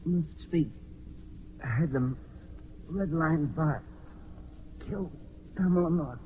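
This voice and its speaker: Dying little man, agonisingly slow. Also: on the high side, a little hiss or hum. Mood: desperate, failing. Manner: halting, broken phrases. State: gravely wounded, struggling to speak. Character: dying little man, desperate to deliver a warning. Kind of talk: 1940s radio acting. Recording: muffled, reverberant vintage radio recording.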